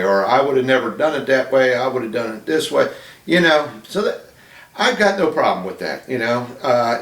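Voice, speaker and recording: Hobbyist man talking to camera in his apartment, loose and rambling, heard from a distance. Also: medium pitch. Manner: rambling, many fillers and restarts. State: losing his voice, coughing. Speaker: model ship builder. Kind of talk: casual talk to camera. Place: small apartment room. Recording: echoey room from off-mic.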